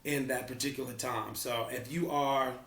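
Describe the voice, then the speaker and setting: A man's voice: medium pitch, fairly even intonation, normal speed, a bit bright, distant mic. Reflective Texan man, off-mic echo. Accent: Houston Texan. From Houston, Texas. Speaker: vlogger co-host. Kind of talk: casual chat.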